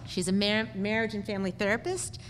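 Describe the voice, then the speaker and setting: A woman's voice: medium pitch, steady tone, brisk. A woman emceeing an event, briefly introducing the next speaker. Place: hall. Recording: reverberant hall, faint noise.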